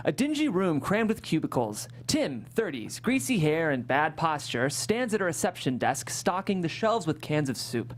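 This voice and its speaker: Animated script narrator reading stage directions. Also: medium pitch, normal speed, hardly any pauses.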